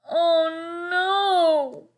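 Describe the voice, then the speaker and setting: A woman's voice: very high voice, drawn-out words, voice pushed up, heavily acted. A woman voicing a gloomy, earnest young boy in a storybook, with woeful, dramatic delivery. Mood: wailing. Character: gloomy, melodramatic, earnest boy in dreadful sweaters.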